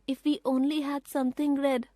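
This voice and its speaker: Woman reading as a young boy, quick and businesslike, higher than her own voice, dry close sound. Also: flat delivery, dark tone, no room sound, lightly acted. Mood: urgent. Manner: urgent, prompt, decisive.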